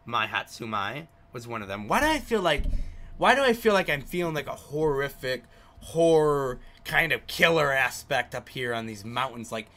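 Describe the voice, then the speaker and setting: Bright, animated young-sounding male gaming YouTuber. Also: a little high, moderate pace. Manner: emphatic, repetitive, addressing viewers directly. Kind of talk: let's play commentary, casual and chatty.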